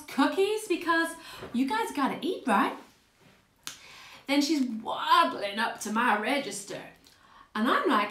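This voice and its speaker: A woman acts out a blunt, fond, working-class older woman in a stage monologue. The voice is high and very lively, chatting through old memories. The room echoes around it. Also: exaggerated voice. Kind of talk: dramatic stage monologue, conversational storytelling. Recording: roomy, echoing space.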